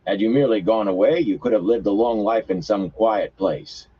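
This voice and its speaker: A man's voice: lowish voice, moderate pace, breathy edge, pitched above the performer's own voice. A man voicing a grim, menacing male guild enforcer with a foreign-sounding accent, mocking in tone, on a muffled lo-fi recording.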